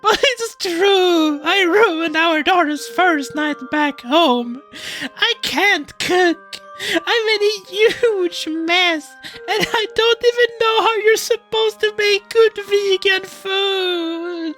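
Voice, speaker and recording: Woman voicing a high-pitched, anxious furry animal dad. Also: slow, a bit bright, soft background music, full character voice. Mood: distraught, tearful. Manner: hesitant, stammering, emotive. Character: anxious, flustered furry animal dad, gentle and easily overwhelmed. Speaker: narrator. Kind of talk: dramatic first-person visual novel reading.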